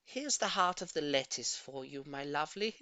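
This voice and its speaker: A man voicing a sweet, gushing middle-aged lady who dotes on her pet, with drawn-out cooing words, in an audiobook. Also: fairly high pitch, animated, bright, forceful tone, no echo. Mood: fond, cheerful. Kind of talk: audiobook character voice.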